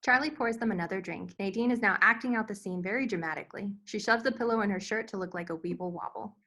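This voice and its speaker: Female narrator with a medium-pitched voice for reading screenplay action and scene headings. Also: fast, bright, forceful tone. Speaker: narrator reading stage directions. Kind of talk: scripted narration for screenplay table read.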